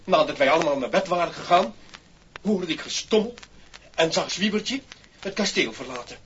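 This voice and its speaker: An actor playing a stiff, formal Dutch castle butler, prim and easily flustered, in a 1960s radio play. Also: male voice, a little high, expressive, moderate pace, fairly bright tone. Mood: anxious, explaining. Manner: formal, indignant, stammering when cornered. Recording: dull, faintly hissy old record.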